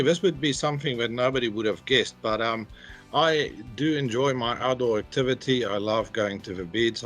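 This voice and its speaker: A man's voice: fairly low pitch, fairly bright tone. A man working as a cybersecurity principal, talking casually in a workplace interview, hesitant with many fillers. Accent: non-Australian.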